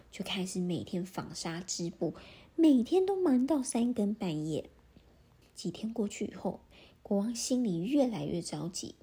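A woman with a breathy, often half-whispered voice, telling a children's story in a gentle, animated way. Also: mid-range voice.

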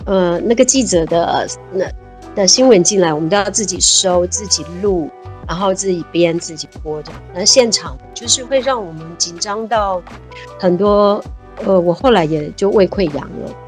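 Woman in her 50s, lively chatty guest, lots of fillers, soft music under. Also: on the low side, normal speed. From Taiwan. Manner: animated, laughs easily, many fillers. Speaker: guest, civil servant. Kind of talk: casual interview chat.

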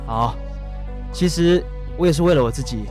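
A man's voice: a little high, normal speed, darkish tone, soft background music, lightly acted. A man acting as a resigned, wounded bartender in a modern urban radio drama. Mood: bitter, hurt. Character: resigned, wounded male bartender about to close up. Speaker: actor.

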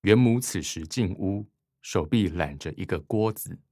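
A man's voice: low voice, animated, measured pace, darkish tone, no room sound, studio quality. A man narrating a scripted radio drama, reading short scene descriptions.

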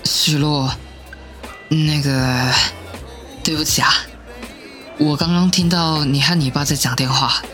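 A man's voice: on the high side, fairly even intonation, a little slow, pauses often, a bit bright, faint music behind. An actor in an audio drama playing a shy, earnest young man who speaks hesitantly. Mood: gentle, tentative. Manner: hesitant, stammering. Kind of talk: modern Mandarin audio drama acting.

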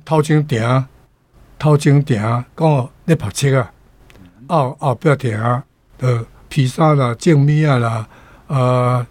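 語速緩慢、講解詞彙的台灣男性. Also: male voice, medium pitch, a little mellow, dry recording. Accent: Taiwanese. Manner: explanatory, repeats words, frequent filler particles. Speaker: Taiwanese-language teacher. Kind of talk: conversational vocabulary lesson with chanted folk verse.

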